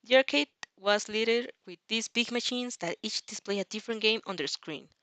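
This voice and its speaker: Expressive woman reading a story, dry sound. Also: fairly high pitch, moderate pace, no echo. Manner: informal, stumbles over and repeats phrases. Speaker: fan fiction narrator and reactor.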